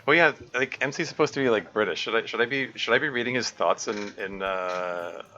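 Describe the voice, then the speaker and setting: A man's voice: on the low side, normal speed, sharp tone, a little hiss or hum. A man reading a visual novel aloud on a live stream, often stopping to correct himself and laugh. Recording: dull lo-fi stream audio, faint music.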